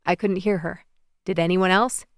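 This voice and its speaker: A woman voicing a confident, playful young man in an audiobook, speaking softly in a slightly lower register. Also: steady tone, moderate pace, no echo, clean studio sound, close to an everyday voice. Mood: teasing. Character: charming young male president, confident, playful suitor. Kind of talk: audiobook character voice.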